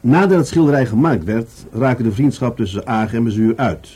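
A man's voice: medium pitch, fairly quick, warm tone, husky, lightly acted. A man acting in a Dutch radio play as an earnest, thoughtful police adjutant, politely and persistently explaining to his superior.

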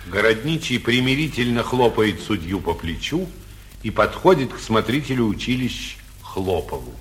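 A man's voice: fairly low pitch, expressive, normal speed. A man giving scripted narration for a 1940s radio play.

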